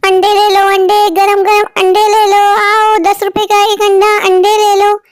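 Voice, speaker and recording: A woman's voice: extremely high pitch, monotone, unhurried, music in the background. A woman voicing an anxious, plaintive poor father who sells eggs in a cartoon story. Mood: calling out. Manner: plaintive, imploring. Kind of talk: Hindi cartoon story acting.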